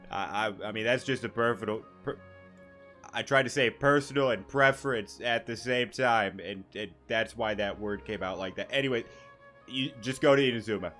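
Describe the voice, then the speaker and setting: A man's voice: on the low side, normal speed, pushed and bright. A man giving casual, rambling gameplay commentary as a streamer, repeating words and swearing casually. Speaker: gaming streamer. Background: game music.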